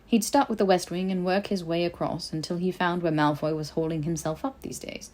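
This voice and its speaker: Low-pitched woman narrating fiction. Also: fairly even intonation, fairly quick, a little mellow. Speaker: podfic narrator. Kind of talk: expressive scripted fiction narration.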